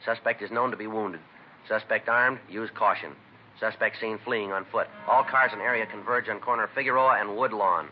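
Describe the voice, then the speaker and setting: An actor playing a weary, businesslike police detective in a 1950s radio crime drama, terse, deadpan and matter-of-fact. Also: male voice, fairly low pitch, moderate pace, lightly acted. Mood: official, urgent. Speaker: police detective narrating the case. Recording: muffled, noisy vintage radio recording.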